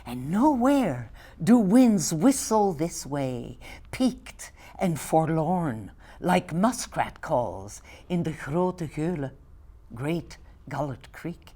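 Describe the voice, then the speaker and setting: An elderly woman from Belgium in her eighties reads her poems aloud in a Flemish accent, English not being her first language. Her pace is slow and deliberate, soft and measured, and some passages sink to a near whisper. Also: low-pitched, big pitch swings. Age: 80s. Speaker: poet. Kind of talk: poetry reading.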